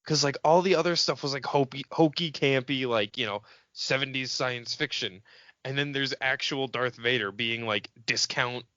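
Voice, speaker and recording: A man talking casually as a podcast co-host, telling stories in an exasperated, animated way. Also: medium pitch, moderate pace, no room sound. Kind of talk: casual chat. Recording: dull lo-fi podcast audio, dry.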